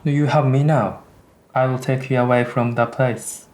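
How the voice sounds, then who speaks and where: A man's voice: medium pitch, fairly even intonation, moderate pace, a little mellow, lightly acted. Devoted soldier in love, acted by a man. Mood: earnest. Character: devoted aging soldier in love, tender, resolute. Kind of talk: radio drama acting.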